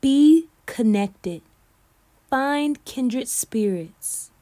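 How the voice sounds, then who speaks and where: High-voiced woman reading slowly to children. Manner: animated, deliberate, encouraging delivery. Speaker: teacher reading aloud. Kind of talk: expressive children's picture book read-aloud. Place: small indoor room against plain backdrop.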